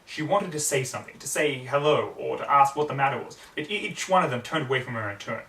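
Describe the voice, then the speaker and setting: A young man narrates a story with earnest drama. His voice is bright and mid-pitched. It comes from a little way off in a small, echoing room. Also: fast. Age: young adult. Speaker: story reader. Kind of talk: dramatic fan fiction narration. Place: small home room with computer desk. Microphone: distant camera microphone. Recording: roomy, distant mic, background noise.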